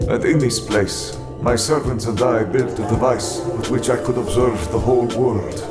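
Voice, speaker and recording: Man performing proud villain monologue, deep register, imperious, brooding, audio drama, loud music bed. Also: lightly acted. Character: proud, menacing male supervillain sorcerer-scientist recounting his rise. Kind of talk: dramatic scripted first-person narration.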